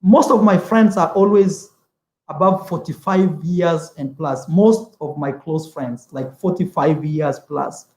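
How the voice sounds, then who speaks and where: A man's voice: on the high side, a little slow, darkish tone, reverberant, poor audio. A young man from East Africa whose first language is Swahili, chatting casually on a travel livestream, rambling, repeating words and calling the viewer "man". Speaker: travel vlogger.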